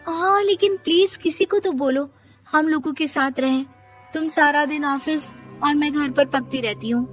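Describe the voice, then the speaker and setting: A woman acting an excitable, high-pitched young wife. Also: normal speed, soft background music, lightly acted. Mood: pleading, nagging. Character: young village-born wife Radha, eager, pleading, excitable. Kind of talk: animated storybook character acting.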